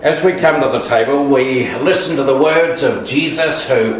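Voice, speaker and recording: A man leading a communion service, speaking quietly and solemnly in prayer without a microphone. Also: mid-range voice, moderate pace. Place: large echoey church without a mic. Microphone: distant room recording. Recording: far-off voice in an echoey hall with noise.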